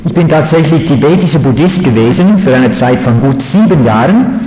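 A man from the Netherlands, giving a measured, halting lecture on religion with fillers. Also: fairly high pitch, lively intonation, mellow. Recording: echoey hall.

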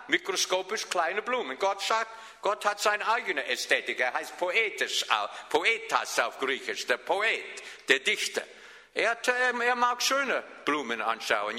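A man's voice: a little high, pushed and bright, long reverb tail. A man with a Swabian accent preaching a sermon as a pastor, emphatic and often turning to ask his listeners questions.

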